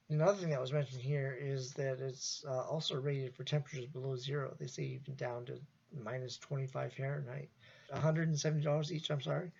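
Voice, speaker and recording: A man giving an informal, loosely improvised product review in a halting way. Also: on the high side, vocal fry, darkish tone, husky, lo-fi sound.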